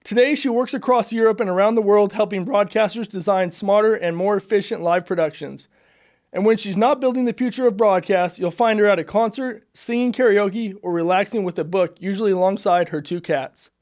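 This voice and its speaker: A man's voice: high voice, brisk, a little mellow. A man hosting a podcast, upbeat and friendly in casual interview chat, with frequent fillers. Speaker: podcast host. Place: studio.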